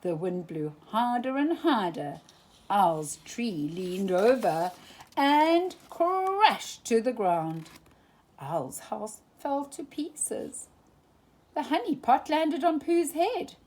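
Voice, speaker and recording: A woman reading a children's storybook aloud at a slow, gentle pace. Her voice is husky and breathy, and her pitch rises and falls a lot as she tells the story. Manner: expressive, animated read-aloud. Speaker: storytime reader.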